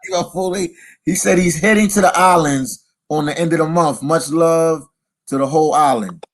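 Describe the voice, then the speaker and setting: A man with a slightly higher voice hosts a casual live-stream interview. He chats loosely and reads viewer comments aloud between questions. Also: moderate pace.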